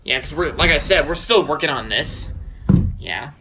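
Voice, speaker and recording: A man's voice: on the high side, animated, normal speed, gravelly, sharp tone, slight background noise. A young man filming a casual tour of his new house on a handheld phone, walking room to room and talking in a rambling way, with many repeated words and restarts. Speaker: vlogger giving a house tour. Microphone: handheld phone camera held by the speaker, off screen.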